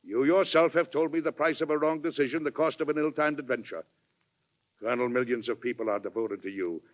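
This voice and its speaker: An actor playing a firm, weary, principled American president in 1940s radio drama style, formal and deliberately persuasive. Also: male voice, medium pitch, close to an everyday voice. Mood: grave, persuasive. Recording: muffled 1940s radio recording.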